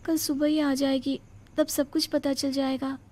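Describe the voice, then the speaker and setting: High-voiced, headstrong young nurse played by a woman. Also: level tone, a little slow, a little mellow. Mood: worried, weak. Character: headstrong, confident young pregnant nurse, a daughter-in-law. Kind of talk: Hindi cartoon moral-story dubbing.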